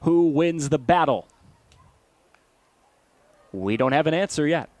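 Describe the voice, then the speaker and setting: An American man calling baseball play-by-play as a broadcaster, animated and given to shouting on big plays. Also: a little high, slow pace, no room sound. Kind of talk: live sports play-by-play commentary.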